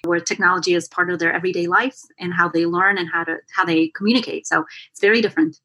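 A woman's voice: on the low side, steady tone, fast, bright, forceful tone. A woman of about forty, originally from Bulgaria and raised partly in America, giving earnest, articulate explanations as an interview guest on a remote call. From born in Bulgaria, in America from age twelve. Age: late 30s to early 40s. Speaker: interview guest, consultant and author. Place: remote call over a screen.

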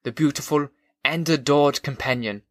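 A man voicing an earnest gentleman with a put-on German accent, recounting his childhood in formal, measured audiobook narration of a classic novel. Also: a little high, dry recording, clean studio sound, close to an everyday voice. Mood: earnest, devoted.

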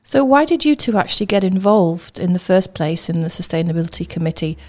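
A woman's voice: lowish voice, lively intonation, brisk, a little mellow. A woman, a teacher, hosting an interview podcast. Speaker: host, school teacher.

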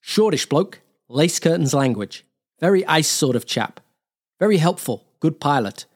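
A man's voice: a little high, expressive, measured pace. A man playing a wartime air force airman, reading a blunt, slangy diary in a terse, clipped manner. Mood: wry, dismissive. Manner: clipped, terse, matter-of-fact.

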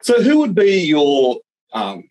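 A man's voice: fairly high pitch, wildly swooping pitch, slow, a little mellow, low-quality recording. A man hosting a business podcast, asking questions hesitantly with many ums. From Australia. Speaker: podcast host. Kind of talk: interview questions.

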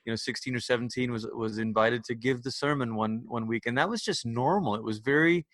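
A man's voice: medium pitch, brisk, a bit bright, no room sound, studio quality. A man from Malaysia, a church leader, talking casually and reflectively as an interview guest on a video call, often adding "you know".

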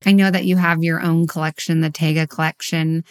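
A woman's voice: low-pitched, little pitch movement, a little fast. A woman hosting a podcast, asking and responding in a conversational interview style.

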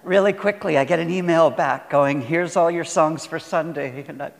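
A man's voice: on the high side, normal speed, gravelly. A male preacher talking informally and effusively to his congregation, recorded in a reverberant church. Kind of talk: informal sermon, conversational talk to congregation. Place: large indoor church space.

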